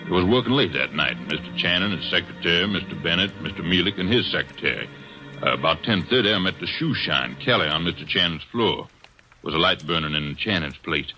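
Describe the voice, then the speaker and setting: An actor playing a folksy night porter recounting events in an old radio drama. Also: male voice, low-pitched, animated, fairly quick, bright, forceful tone, faint music behind. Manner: folksy, rambling. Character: plain older male night porter. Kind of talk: 1940s radio acting.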